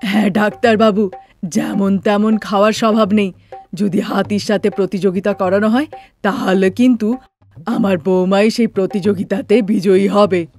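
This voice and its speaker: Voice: mid-range voice, steady tone, normal speed, darkish tone, faint music behind, lightly acted. Voice actor doing a fretful older mother-in-law. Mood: complaining.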